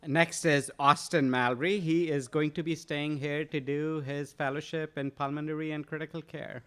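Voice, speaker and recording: A man's voice: a little high, little pitch movement, moderate pace, slight background noise. A man acting as emcee at a residency graduation ceremony, announcing graduates with lots of fillers and restarts. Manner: hesitant, many fillers. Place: large room with an audience.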